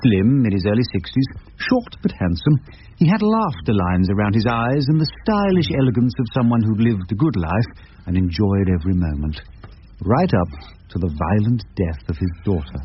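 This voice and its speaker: An actor playing a wry, thoughtful English criminal barrister in a radio drama, speaking drily and deliberately with musing hums. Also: male voice, lowish voice, very animated. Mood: wry, reflective. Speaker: actor in the lead role and narrator. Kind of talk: radio drama narration and dialogue. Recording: dull, noisy lo-fi radio drama recording.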